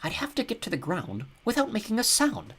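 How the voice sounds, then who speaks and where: A man's voice: high voice, very animated, a little fast, fairly bright tone, breathy edge, pitched above the performer's own voice, exaggerated voice. A man voicing a tiny house spirit who whispers. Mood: secretive.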